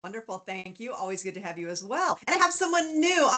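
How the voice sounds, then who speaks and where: A woman hosting an online pitch meeting, welcoming panelists in an upbeat manner. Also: big pitch swings, fast talker, bright, forceful tone. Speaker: meeting host.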